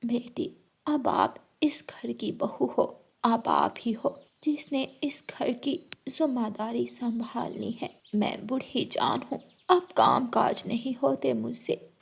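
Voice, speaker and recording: Lady playing homely old granny bird, soft husky voice, slow, kindly bossing the house in a cartoon. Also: fairly high pitch, very animated, exaggerated voice. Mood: weary, kindly. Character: elderly mother-in-law bird, kindly and homely.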